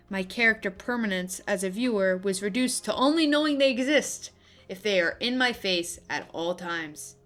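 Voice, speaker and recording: A young woman delivering opinionated, scripted video-essay commentary with casual asides, in an expressive, emphatic manner. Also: on the high side. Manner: expressive, emphatic, gushing and griping. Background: background music.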